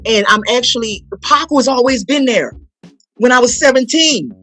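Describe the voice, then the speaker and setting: A woman's voice: on the high side, moderate pace, fairly bright tone. New woman, emphatic storyteller, music behind. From New Orleans, United States. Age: 40s. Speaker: guest, playwright and stage actor. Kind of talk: casual promotional interview chat.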